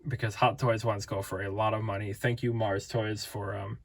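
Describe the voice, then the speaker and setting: A man's voice: fairly low pitch, level tone, normal speed, creaky. Rambling tired man reviewing toys. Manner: rambling, playful, self-interrupting. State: tired, voice fading. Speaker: toy collector reviewer. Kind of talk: casual toy review.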